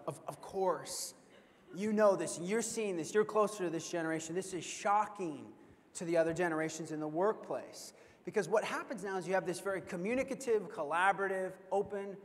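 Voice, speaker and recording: A man in his thirties delivers a lively keynote on a large convention stage and acts out the reactions he quotes. His voice sits high, and a long reverb rolls through the hall behind his headset mic. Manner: animated, acts out quoted reactions, repeats words when excited. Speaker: keynote speaker. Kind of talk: energetic conference keynote.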